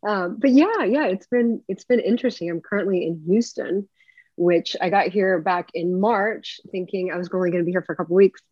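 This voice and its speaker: A woman's voice: mid-range voice, fairly quick, a little mellow, husky, no room sound. Woman, from Puerto Rico, chatty rambling guest, dull dry call audio. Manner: talkative, rambling storyteller. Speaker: actress guest. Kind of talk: casual interview conversation. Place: home room. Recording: dry, slightly dull lo-fi sound.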